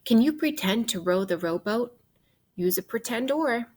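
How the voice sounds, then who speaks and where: A woman teacher reading a children's book aloud in an expressive way, guiding young listeners with questions and pauses. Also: fairly high pitch, breathy edge. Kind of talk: interactive read-aloud of a children's nonfiction book.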